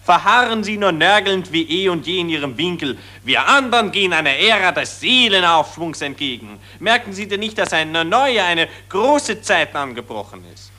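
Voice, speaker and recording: An earnest patriotic idealist in a 1940s radio play. His voice is fairly high and brightly forceful, and he declaims in lofty, formal phrases. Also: big pitch swings, normal speed, hardly any pauses, exaggerated voice. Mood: exalted, earnest. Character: idealistic patriotic male optimist, earnest and grandiloquent. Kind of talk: 1940s German radio drama acting.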